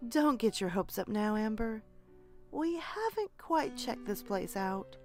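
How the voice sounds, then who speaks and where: Exasperated young woman played by an actress, highish and very unhurried, cautioning, horror audio drama. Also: animated, a little mellow, soft background music. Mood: wary. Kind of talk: audio horror story acting.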